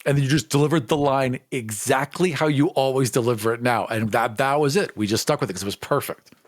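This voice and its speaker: Man, middle-pitched voice, casual podcast conversation, emphatic and appreciative co-host, very dry recording. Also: fairly quick, no echo.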